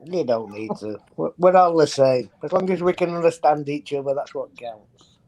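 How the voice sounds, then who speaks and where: A man's voice: a little high, normal speed, a little mellow. A man with a strong Northern Irish accent bantering casually on an online group chat, often repeating his phrases. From Northern Ireland. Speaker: chat participant. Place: remote online call.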